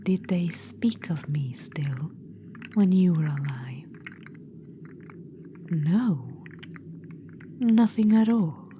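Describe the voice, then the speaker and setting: A woman's voice: on the low side, expressive, very slow, long pauses, a little hiss or hum. A woman voicing a vain, imperious undead witch queen in a whispered ASMR roleplay, teasing and condescending toward the listener. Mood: wistful. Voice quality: soft whispering.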